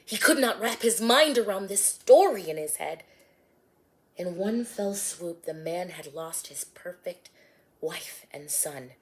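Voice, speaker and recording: A woman narrating her own rhyming storybook with an expressive, sing-song delivery. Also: lowish voice, takes it slowly. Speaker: author and storybook reader.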